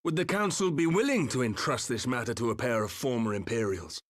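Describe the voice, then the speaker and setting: Casting: male actor for earnest fantasy-game engineer hero; medium pitch, dry recording. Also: animated, brisk, dry recording, close to an everyday voice. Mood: wary, resolute. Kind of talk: video game cutscene acting.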